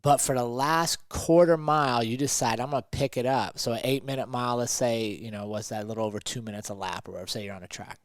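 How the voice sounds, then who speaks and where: A man's voice: mid-range voice, fast talker, hardly any pauses, dry recording, clean studio sound. A man co-hosting a motivational podcast, talking emphatically and often checking in with rhetorical questions. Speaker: podcast co-host.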